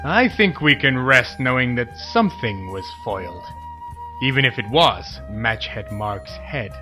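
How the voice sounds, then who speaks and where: A man's voice: mid-range voice, animated, unhurried, over music, lightly acted. A man playing a pompous, earnest superhero commander in a comedy audio drama, with heroic formality that slips into friendly chat. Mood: chatty.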